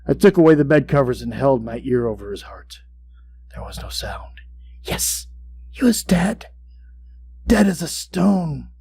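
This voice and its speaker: A man playing an obsessive, boastful mad murderer who narrates a gothic horror tale in a dramatic, deliberate way. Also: medium pitch. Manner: dramatic, deliberate, swinging between hush and outbursts. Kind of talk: theatrical gothic horror storytelling. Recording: clear background hum and noise.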